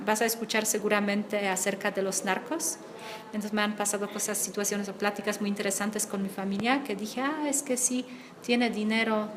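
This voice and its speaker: A young woman from Poland talks in a chatty way, filling pauses as she thinks. Her voice sits in a middle range. Clear background noise runs under her. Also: medium pitch. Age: young adult. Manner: chatty, many fillers. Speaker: interviewee. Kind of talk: student video interview.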